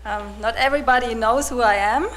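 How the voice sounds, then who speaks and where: A woman in her forties, from Germany, giving a personal testimony to a congregation in a soft-spoken, hesitant way with many restarts. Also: fairly high pitch, a little fast, slight background noise, low-quality recording. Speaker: church volunteer giving testimony.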